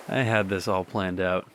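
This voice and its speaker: A man's voice: low-pitched, a little hiss or hum, full character voice. A man playing a wistful young man by the sea. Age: young adult. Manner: soft, wistful, reflective, with quiet laughs. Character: young man Adam speaking to a lost love. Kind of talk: radio drama monologue.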